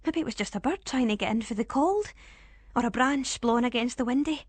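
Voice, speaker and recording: A woman with a Scottish accent narrating a first-person ghost story in a suspenseful, expressive way. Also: a little high, a little fast, slightly rough, no room sound.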